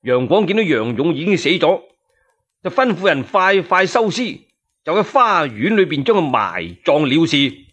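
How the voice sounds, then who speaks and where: A man telling a dramatized traditional tale in Cantonese, colloquial and expressive, with asides to his listeners. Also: a little high, moderate pace. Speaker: solo storyteller. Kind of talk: traditional Chinese radio storytelling, dramatized narration.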